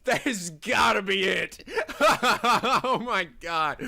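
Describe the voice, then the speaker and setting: A man in his twenties doing let's play commentary, loud and exasperated, shouting and swearing. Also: very high-pitched, gravelly.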